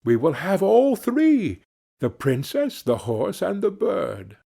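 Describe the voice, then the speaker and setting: A wise, earnest fox from a storybook, played by a male reader, counselling carefully. The pitch moves with great liveliness and rises above plain narration, while the words come slowly over a slightly gravelly, husky tone. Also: wildly swooping pitch, warm tone, exaggerated voice. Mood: earnest, instructing. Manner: instructive, deliberate storybook delivery.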